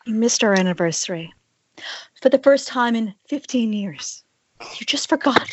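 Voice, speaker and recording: A woman's voice: a little high, expressive, moderate pace, natural voice. A woman reading a hurt, fed-up wife confronting her addict husband in an amateur screenplay table read, exasperated and confrontational. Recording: dull, noisy internet call with clicks.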